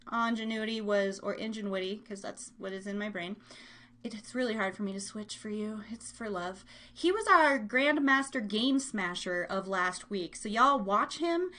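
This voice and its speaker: Female live radio host: high-pitched, playful, rambling and self-teasing chat. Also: sharp tone. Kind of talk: casual live show chat.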